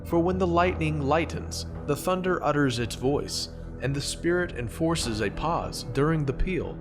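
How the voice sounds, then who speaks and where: A man playing an ancient prophet who recounts his visions in measured, reverent, archaic narration for a scripture audio drama, with music behind him. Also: fairly high pitch, close to an everyday voice. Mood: solemn, awed.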